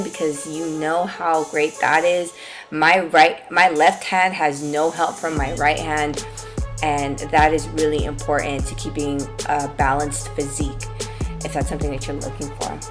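A woman in her twenties talking through an arm workout in a relaxed, upbeat, explanatory way. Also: low voice, a little slow. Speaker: fitness vlogger. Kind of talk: casual workout walkthrough. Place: gym. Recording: music underneath the voice.

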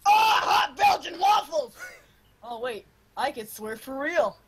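A woman's voice: high voice, big pitch swings, slow pace, raised voice, a loud crowd, very noisy, exaggerated voice. A woman voicing a shifty, panicky old great-uncle in an improvised cartoon parody, stammering and flustered. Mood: brash. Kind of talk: improvised comic cartoon fandub.

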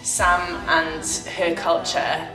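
A woman in her thirties, a street artist, talking quickly in an interview with casual filler words. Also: lowish voice, level tone, over music. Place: room with a red wall. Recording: roomy sound from a distance.

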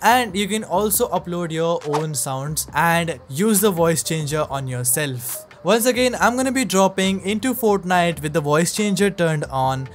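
A man giving a scripted tutorial narration as a software reviewer. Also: a little high.

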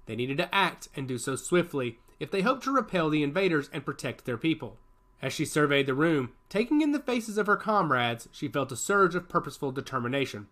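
A man's voice: a little high, sing-song, fairly quick, a bit bright. A man narrating a story in a dramatic storytelling cadence.

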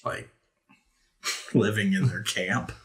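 A man's voice: low voice, level tone, takes it slowly, a bit bright. A man talking casually as a player in a tabletop roleplaying game.

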